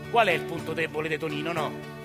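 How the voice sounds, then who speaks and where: A man voicing a desperate, scheming father with a Roman accent, plotting in a hushed, urgent voice. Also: a little high, steady tone, fast talker, music in the background, pitched below the performer's own voice, natural voice. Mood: conspiratorial.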